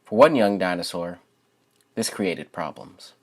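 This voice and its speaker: Low-pitched man, young adult. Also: lively intonation, a little slow, a little mellow. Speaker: channel host and children's book author. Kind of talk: casual spoken introduction to viewers.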